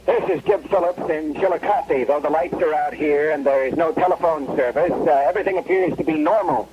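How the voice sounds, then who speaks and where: Halting newscaster over noisy radio. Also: male voice, on the high side, animated, gravelly. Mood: halting, uneasy. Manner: halting, matter-of-fact. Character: veteran male newscaster reporting calmly from small town. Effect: two-way radio. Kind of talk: 1970s radio drama mock field report. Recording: dull, noisy two-way radio link.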